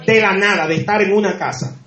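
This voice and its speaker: A man with a Dominican Spanish accent, a pastor preaching an exuberant sermon with shouts of praise and laughter. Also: sits high, fast, dull audio. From Dominican Republic. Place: church hall. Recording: echoey church with congregation.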